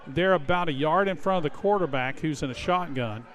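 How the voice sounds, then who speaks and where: A man's voice: fairly high pitch, a little fast, fairly bright tone. A man giving live analytical commentary on a football game, explaining plays in a conversational way. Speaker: color commentator.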